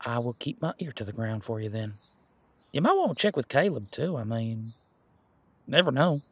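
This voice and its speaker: Guy playing easygoing werewolf bodyguard in audio rp, medium pitch, bit husky, folksy halting casual talk. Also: very animated, dry recording. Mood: encouraging, upbeat. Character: young male werewolf bodyguard, protective, easygoing, bashful. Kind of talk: roleplay audio drama, conversational monologue to listener.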